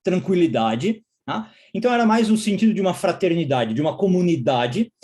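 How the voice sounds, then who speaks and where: Lecturer, male, from israel, fairly high voice, patient explainer. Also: lively intonation, brisk, mellow. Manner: explanatory, frequent checking tag questions. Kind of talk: recorded course lecture.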